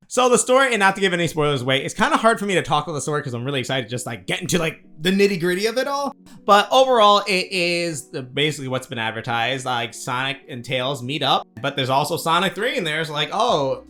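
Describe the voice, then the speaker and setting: A man giving a casual, rambling movie review in an animated, casually sweary way, as an online reviewer. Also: on the high side. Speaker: YouTube game and film reviewer.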